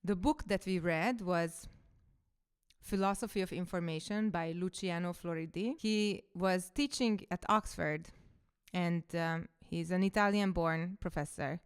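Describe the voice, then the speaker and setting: Smooth-voiced Hungarian woman reflecting. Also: lowish voice, measured pace. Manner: reflective, storytelling. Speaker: coach and podcaster. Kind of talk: casual personal monologue.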